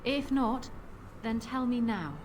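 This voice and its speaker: Game queen: proud, shrewd, formal and composed, slightly high voice. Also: a little mellow, faint music behind. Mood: dry, guarded. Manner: formal, measured, composed wording. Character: proud, shrewd widowed queen, coolly pragmatic. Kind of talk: scripted video game acting.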